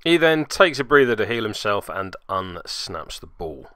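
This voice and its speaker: Male game narrator, wry and self-mocking, clear forceful delivery, dry close-miked sound. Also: fairly low pitch, big pitch swings, normal speed, dry recording. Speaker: host narrating a tabletop game battle report. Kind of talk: casual recorded commentary.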